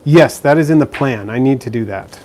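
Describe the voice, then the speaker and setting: A man's voice: medium pitch, moderate pace, a little mellow, a little hiss or hum. A man casually answering viewer questions on a live stream, chatty and easygoing, with plenty of fillers and restarts, filming indoors by a terrarium. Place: indoors beside a frog terrarium.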